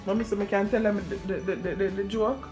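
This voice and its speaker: A young woman with a Jamaican accent chatting casually in Patois as a teasing, animated cooking co-host. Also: medium pitch, quick pace, reverberant. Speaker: cooking vlog co-host, the daughter. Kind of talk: casual kitchen chat. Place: home kitchen. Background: music.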